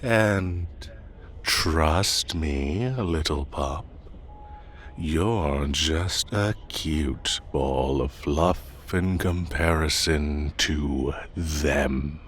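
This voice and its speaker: Man acting a deep, very slow, haughty fae king. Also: fairly bright tone, slight background noise, exaggerated voice. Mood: menacing, condescending. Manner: condescending, unhurried, theatrical drawl. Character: haughty fae forest king, teasing, possessive, menacing. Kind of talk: audio roleplay acting addressed to the listener.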